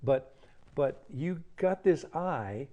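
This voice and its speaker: A man's voice: on the high side, animated, takes it slowly, mellow, lo-fi sound. A man hosting a conversational TV interview, explaining things to viewers and affirming his guest with brief agreement. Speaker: TV interviewer and host.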